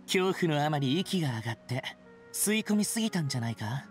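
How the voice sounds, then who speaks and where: A man playing a haughty, sharp-tongued young wanderer in a Japanese anime game, talking in a sneering, sardonic way. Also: fairly high pitch, lively intonation, quick pace, soft background music, natural voice. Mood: scornful.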